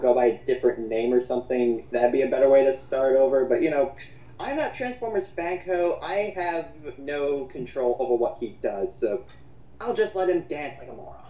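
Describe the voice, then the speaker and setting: A man's voice: mid-range voice, brisk. A man delivers casual, mocking commentary. He is blunt and sarcastic and swears freely. The sound is lo-fi and dull, with plenty of room echo around his voice. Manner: blunt, sarcastic, foul-mouthed.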